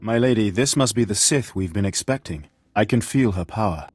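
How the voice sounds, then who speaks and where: A man playing a courteous, flattering Sith bodyguard in a video game, with a formal, smooth-talking manner. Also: fairly low pitch, a little fast, faint music behind. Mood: formal, confident. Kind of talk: scripted video game dialogue.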